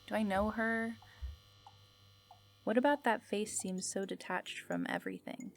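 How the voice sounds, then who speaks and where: A woman playing the musing host of an eerie fiction radio show, speaking in a measured, contemplative way. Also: medium pitch, wildly swooping pitch. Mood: detached, eerie. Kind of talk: scripted reflective fiction narration.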